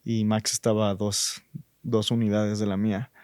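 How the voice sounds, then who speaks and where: A man with a Mexican accent, a designer guest in a relaxed interview, thinking aloud with fillers. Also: on the low side, normal speed, clear, resonant tone, no room sound, clean studio sound. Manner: thoughtful, many fillers like "este" and "o sea".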